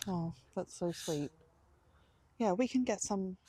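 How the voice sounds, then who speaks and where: A woman co-hosting a podcast on a road trip, chatting casually with halting, hesitant phrasing. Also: mid-range voice, animated. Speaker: podcast co-host. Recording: clear vehicle and outdoor background noise.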